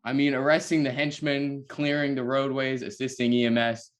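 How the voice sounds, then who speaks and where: A man's voice: medium pitch, level tone, moderate pace, natural voice. A man playing an irritable, sarcastic superhero sidekick in an amateur table read. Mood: condescending. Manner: sarcastic, condescending. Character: Nico, snarky irritable young male superhero sidekick.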